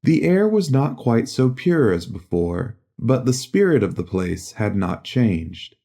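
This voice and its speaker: A man narrating a literary audiobook in a measured, solemn storytelling manner. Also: medium pitch, very animated, gravelly, dark tone.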